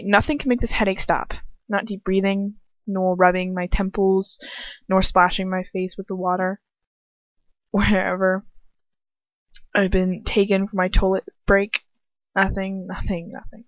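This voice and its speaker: A woman's voice: mid-range voice, no room sound. A woman reading fan fiction aloud in a casual, amateur way, stumbling over words and dropping in chatty, self-deprecating asides. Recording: dull lo-fi home recording.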